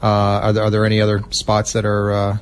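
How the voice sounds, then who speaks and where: A man's voice: fairly low pitch, completely flat delivery, fast. A man hosting a casual radio interview. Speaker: radio host.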